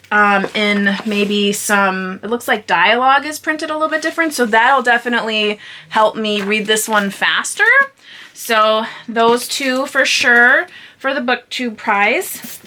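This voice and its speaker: Female vlog host, bright forward voice, informal and chatty, loosely rambling delivery. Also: fairly high pitch. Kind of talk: casual chatty vlog talk to viewers.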